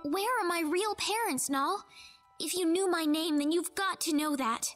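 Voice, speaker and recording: High pitched young rpg heroine, sincere n determined, faint music behind. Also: female voice, normal speed, a bit bright. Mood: searching, earnest. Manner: sincere, questioning. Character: earnest, determined young heroine seeking her origins. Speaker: voice actor in a fantasy role-playing game. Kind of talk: dramatic video game dialogue.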